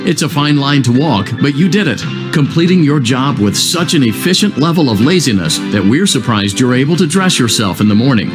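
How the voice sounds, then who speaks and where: A man's voice: medium pitch, lively intonation, a little fast, few pauses, over music, lightly acted. An actor playing a cheery, smug company announcer who delivers insults like a salesman's congratulations in an upbeat cartoon narration. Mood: cheerfully condescending.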